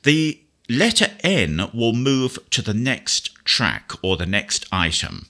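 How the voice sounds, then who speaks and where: A man presenting a software tutorial in a methodical, precise instructional manner, explaining step by step as he demonstrates. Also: mid-range voice, very animated, takes it slowly. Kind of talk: spoken screen-reader software demonstration.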